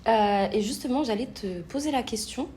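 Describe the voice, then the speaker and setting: A woman interviewing in an informal, affirming way, pausing mid-question. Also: on the high side, dark tone, a little hiss or hum.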